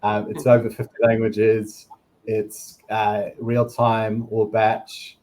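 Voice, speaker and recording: A man with a New Zealand accent, introducing himself as a guest on a video-call interview in a relaxed, explanatory way. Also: fairly low pitch, poor audio. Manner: relaxed, explanatory, with some quick bursts. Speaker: guest, product director. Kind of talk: conversational self-introduction.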